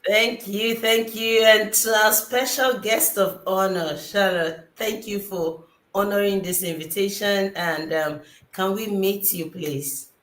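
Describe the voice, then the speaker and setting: A woman's voice: mid-range voice, fairly even intonation, slow, fairly bright tone. A woman from Nigeria hosts a live online chat over a video call. She sounds upbeat and welcoming. Her voice carries a roomy echo. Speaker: host.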